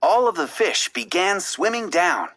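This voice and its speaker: A woman reads a children's story aloud with expressive, dramatic phrasing. Her voice is bright and very animated, yet she takes her time over each phrase. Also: lowish voice, a little slow. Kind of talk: expressive read-aloud narration.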